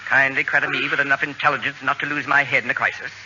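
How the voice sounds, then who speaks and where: A man's voice: mid-range voice, flat delivery, fast, sharp tone. An actor playing a pompous, acerbic, self-assured male babysitter with haughty, precise diction in a 1940s radio comedy. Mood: smug, condescending. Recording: dull, noisy old radio recording.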